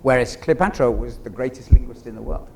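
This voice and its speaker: A man's voice: medium pitch, fairly quick, a little mellow. A man speaking as an actor guest in a conversational stage discussion, thinking aloud with asides. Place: on a theater stage. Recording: clear background noise, stage ambience.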